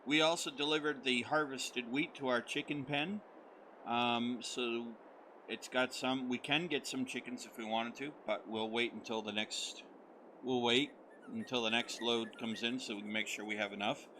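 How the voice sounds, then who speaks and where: Hoarse American man rambling casually. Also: medium pitch, fairly even intonation, moderate pace, bright, forceful tone, slight background noise, rough recording. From United States. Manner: friendly, rambling, many fillers. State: hoarse, recovering voice. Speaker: gaming channel host. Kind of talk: casual let's-play commentary.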